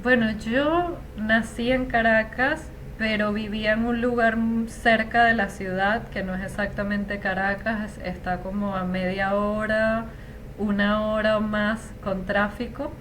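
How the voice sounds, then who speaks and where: A woman's voice: medium pitch, steady tone, a little slow, a bit bright, a little hiss or hum. A Venezuelan woman in her thirties, an interview guest who talks in a chatty, reflective way. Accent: Venezuelan Spanish. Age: 30s. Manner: chatty, reflective, frequent fillers. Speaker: interview guest, Spanish teacher. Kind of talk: casual conversational interview.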